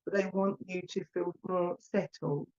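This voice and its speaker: A woman with an Essex accent giving a live psychic reading, chatty and rambling, talking warmly to viewers by name. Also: on the low side, fairly even intonation, unhurried, dull audio. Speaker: psychic medium. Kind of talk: live online mediumship reading.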